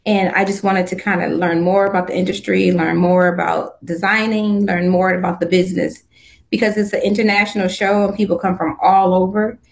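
A woman's voice: medium pitch, little pitch movement, a little fast, gravelly. A woman in her fifties from Southern California, chatting about her work as a designer in an upbeat way, laughing often and restarting her sentences. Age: 50s. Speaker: guest, swimwear designer. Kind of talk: casual interview chat.

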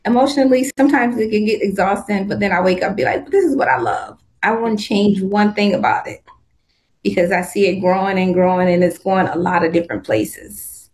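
A woman's voice: medium pitch, fairly quick, a little mellow. A woman in her fifties from Southern California, chatting about her work as a designer in an upbeat way, laughing often and restarting her sentences. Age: 50s. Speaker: guest, swimwear designer. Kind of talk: casual interview chat.

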